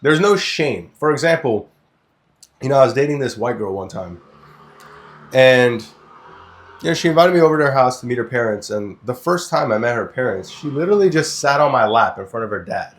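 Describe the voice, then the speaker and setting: Blunt, crude young man from Lebanon. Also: mid-range voice. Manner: blunt, crude, animated storytelling with swearing. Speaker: podcast co-host. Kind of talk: casual opinionated podcast chat.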